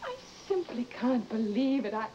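A high-voiced, anxious wife in a vintage radio horror play. She is earnest and insistent, pleading in dramatic, broken phrases. The recording is muffled and slightly noisy. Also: big pitch swings, moderate pace, warm tone. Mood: disbelieving. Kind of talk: old-time radio horror acting. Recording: dull, noisy old radio broadcast recording.

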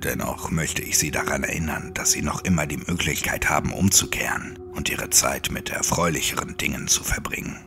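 A man acts out a weary, hollow monk in an audio horror story. His dark voice sits very low with a gravelly edge, hushed and formal as he gently warns, over music. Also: fairly quick, few pauses. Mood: hushed, resigned. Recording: music under the voice.

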